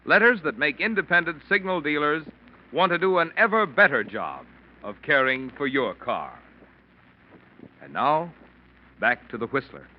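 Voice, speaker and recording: A man's voice: fairly high pitch, lively intonation, normal speed, long pauses. A man reading scripted announcements in the formal, polished manner of a 1940s radio announcer. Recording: muffled vintage radio, loud music behind.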